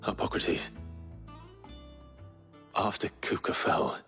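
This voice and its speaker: An actor playing a terse, weary, earnest warrior hero in a video game, understated in delivery. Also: male voice, takes it slowly, a bit bright, faint music behind, heavily acted. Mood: grave, low. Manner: terse, low-key, understated. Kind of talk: scripted video game drama acting.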